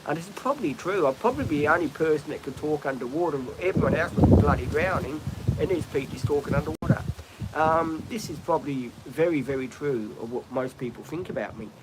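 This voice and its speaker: Australian man, middle-aged, rambling live-stream host, outdoors with steady background noise. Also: fairly high pitch, brisk. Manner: chatty, rambling, frequent ums and restarts. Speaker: livestream host. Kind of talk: casual live-stream chat. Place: outdoors, birds in trees nearby.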